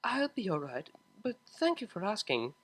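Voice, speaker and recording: A woman's voice: medium pitch, wildly swooping pitch, normal speed, pitched above the performer's own voice. A woman voicing a young, refined pony mare, a defensive daughter who pleads emphatically and gets flustered. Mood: subdued, polite.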